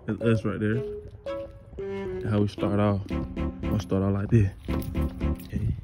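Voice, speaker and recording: A young man vlogging casually outdoors on a lake, talking in slang with excitable, nervous laughter into a phone held close. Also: lowish voice, slow, creaky, loud music behind the voice. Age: young adult. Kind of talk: casual vlog chat. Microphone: phone camera held close.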